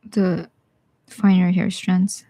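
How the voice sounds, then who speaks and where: A young woman with a Bisaya Filipino accent who teaches watercolor live, talking casually and thinking aloud as she paints, with some quiet asides. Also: on the low side, little pitch movement, slow pace, dry recording. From Philippines. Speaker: watercolor artist streaming live. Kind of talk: live painting tutorial with chat replies.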